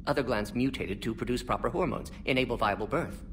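An actor playing a brisk older alien scientist in a video game, speaking in clipped, clinical sentences without articles. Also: male voice, medium pitch, distant mic, slight background noise, lightly acted. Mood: clinical, insistent.

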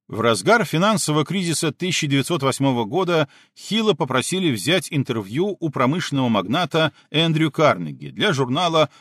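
A man reading an audiobook as a scripted narration, measured and clearly articulated. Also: medium pitch, fairly bright tone, dry recording, clean studio sound.

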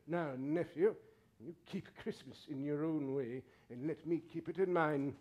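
A man's voice: on the high side, unhurried, dull audio. A man playing a scornful, snappish old miser in a live staged radio drama, grumbling with contempt. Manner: grumbling, contemptuous, with mocking laughs. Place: theatre stage, live performance.

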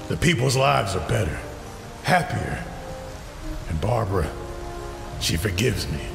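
An actor playing a broken older villain in an audio drama, gravelly and brooding, a weary, menacing former friend. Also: male voice, sits low, heavily acted. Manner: slow, brooding. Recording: echoey, reverberant hall sound.

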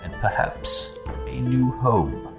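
A man giving a slow, ominous dramatic trailer voice-over. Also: medium pitch, sing-song, creaky, loud music behind the voice. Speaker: trailer narrator.

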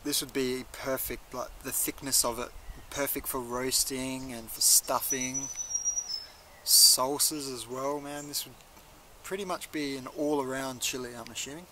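Bright-voiced young man reviewing casually. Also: mid-range voice, fairly even intonation, measured pace, slight background noise, low-quality recording. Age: young adult. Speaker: chilli reviewer, video host. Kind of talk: casual product review to camera. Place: outdoors in a leafy garden.

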